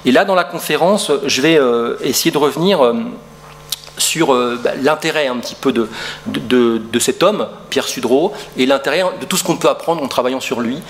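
A man's voice: medium pitch, normal speed, slight background noise. Middle-aged male lecturer, hesitant and full of fillers, lecture room, distant camera. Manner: hesitant, many fillers and restarts. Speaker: urban historian lecturer. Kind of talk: academic lecture.

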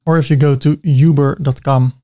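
A man in his thirties with a clear Dutch accent talks through building a website step by step. His voice sits at a middle pitch, and he keeps it chatty with small jokes at his own expense. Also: fairly even intonation, normal speed, mellow. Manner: chatty, self-mocking asides. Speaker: web design tutor. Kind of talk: screen-recorded software tutorial.

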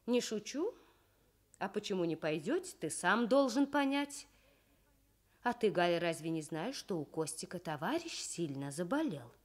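A woman playing a patient, firm mother with measured, gently probing speech in a children's radio story. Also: fairly low pitch, sing-song, pitched below the performer's own voice. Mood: calm, firm.

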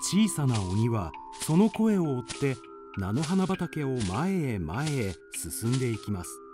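A man narrating a children's radio drama in scripted storybook style. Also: mid-range voice.

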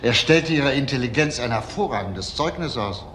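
An actor playing a shrewd, provocative police detective in a crime drama, asking probing, insistent questions with pointed pauses. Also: male voice, medium pitch, lively intonation, a bit bright, slight background noise, lightly acted. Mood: provoking, needling. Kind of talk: German TV crime drama acting.

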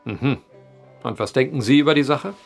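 An actor playing a wry male journalist who tells an eerie old tale with deliberate phrasing in an audio drama. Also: mid-range voice, creaky, soft background music. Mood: wry, probing. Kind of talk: German audio drama acting, storytelling.

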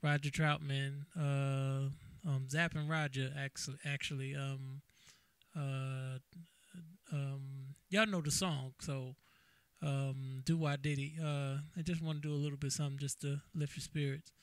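A man's voice: mid-range voice, little pitch movement, a little slow, clean tone, no room sound. A man, perhaps middle-aged, talking casually and a little hesitantly as a DJ greeting his followers, close on a microphone. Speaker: DJ and keyboard player. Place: small indoor home music setup. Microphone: close vocal microphone on a stand.

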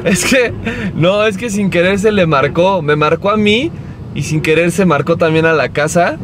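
A young Mexican man chatting casually to his vlog in a playful, animated storytelling way while driving. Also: sits high, normal speed. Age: young adult. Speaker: vlogger. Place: inside a moving car. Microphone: camera mounted on the dashboard. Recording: car cabin with clear road noise.

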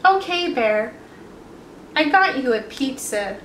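A woman's voice: sits high, expressive, takes it slowly, fairly bright tone, full character voice. A woman voicing an exasperated little male rabbit from a picture book, pleading and exclaiming as he bargains. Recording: echoey room, distant mic, some noise.

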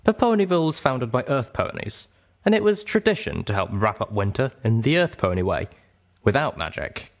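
A man's voice: mid-range voice, very animated, moderate pace, voice pushed up. A man voicing a friendly male dragon who explains and reminisces as a fond storyteller in an audiobook. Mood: fond explaining.

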